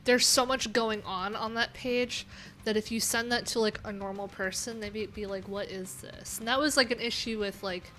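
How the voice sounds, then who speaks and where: A woman's voice: mid-range voice, fairly even intonation, moderate pace, sharp tone, slight background noise. A young adult woman hosting a coding livestream, talking casually and rambling with lots of fillers, with faint music in the background. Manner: chatty, rambling, many "like"s and "um"s.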